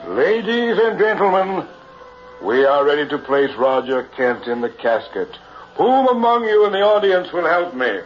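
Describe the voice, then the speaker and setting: High-voiced carnival showman professor. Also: big pitch swings, moderate pace, slight background noise. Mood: brisk, controlled. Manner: theatrical showman's patter, formal phrasing. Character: showy carnival professor, huckster of a buried-alive act. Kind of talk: 1960s radio horror acting. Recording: vintage dull radio recording, music behind.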